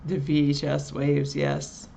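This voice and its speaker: A woman co-hosting a casual live chat show, relaxed and chatty, answering viewers by their usernames. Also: deep voice, slow pace, gravelly, a little hiss or hum.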